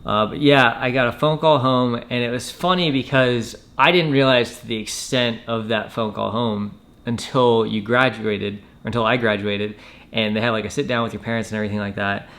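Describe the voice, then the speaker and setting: A man telling a personal story in a casual, matter-of-fact way with hesitant pauses. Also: medium pitch, fairly even intonation, a bit bright. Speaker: storyteller, former Marine recruit.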